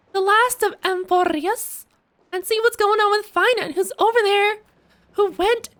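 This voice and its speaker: A young adult woman giving casual, playful and expressive reaction commentary as a game streamer. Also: very high-pitched.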